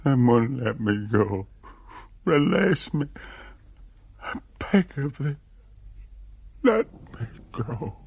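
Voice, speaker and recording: An actor playing a tormented old male ghost, pleading in archaic speech with a gravelly, husky voice. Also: medium pitch, sing-song, takes it slowly, long pauses, a little mellow, full character voice. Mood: anguished, pleading. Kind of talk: radio drama acting.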